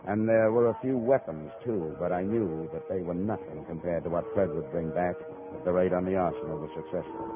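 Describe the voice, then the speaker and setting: A man's voice: deep voice, fairly quick, natural voice. A man acting a tough, terse American insurance investigator in a clipped 1950s radio detective style. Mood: grim, steady. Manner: clipped, hard-boiled. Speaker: lead actor. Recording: noisy, muffled 1950 radio recording.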